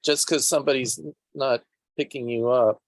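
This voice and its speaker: A man with a creaky, gravelly voice speaks at medium pitch. He talks informally in a teleconference, with a very dry sound free of room echo. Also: fairly even intonation, normal speed. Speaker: meeting participant. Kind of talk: informal conference call discussion. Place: remote teleconference.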